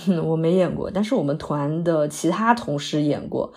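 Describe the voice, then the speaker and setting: 一位女演员在广播剧里饰演刚下戏、略显疲惫的戏曲女演员，语气客气口语化，偶尔迟疑。. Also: female voice, sits low, moderate pace, a little mellow, close to an everyday voice. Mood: persuasive, friendly. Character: polite, weary female opera performer after a late show. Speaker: stage actress in an audio drama. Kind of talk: naturalistic modern audio drama dialogue.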